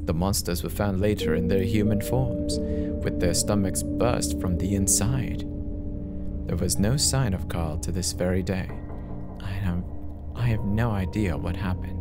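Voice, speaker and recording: Low-voiced man narrating horror over loud music. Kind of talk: dramatic first-person story reading. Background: loud background music.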